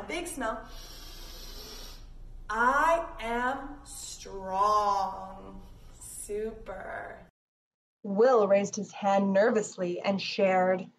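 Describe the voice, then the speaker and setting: A woman leading a children's read-along yoga lesson, reading expressively and giving simple, encouraging instructions to young children. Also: mid-range voice. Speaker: children's yoga teacher reading a picture book aloud. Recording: echoey room, distant mic, background noise.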